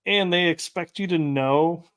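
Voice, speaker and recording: A man's voice: on the high side, a little slow, a bit bright. A man hosting a casual interview, telling rambling anecdotes with light laughs. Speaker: podcast host.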